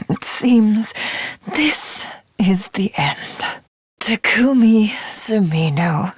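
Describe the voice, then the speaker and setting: An imperious anime game villainess, obsessed god complex, really slow n breathy, super dry sound. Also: female voice, medium pitch, animated, dry recording, exaggerated voice. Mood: weak, wistful. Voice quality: breathy, slipping into whispers. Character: grandiose, battle-crazed self-styled god villainess, melancholy and obsessive. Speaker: video game voice actress. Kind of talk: dramatic anime game character voice lines.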